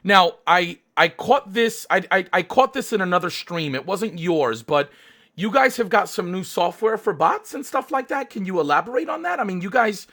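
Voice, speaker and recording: A man hosting a live stream and interviewing a guest, energetic and hyped, full of fillers and restarts. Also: sits high, normal speed.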